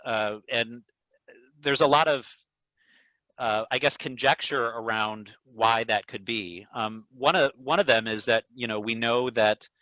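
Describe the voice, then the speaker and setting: A man speaking as an eye doctor, explaining things to a patient in a measured, careful way over a video call. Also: mid-range voice, sharp tone, no echo. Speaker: neuro-ophthalmologist answering patient questions. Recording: dull, lo-fi video call audio.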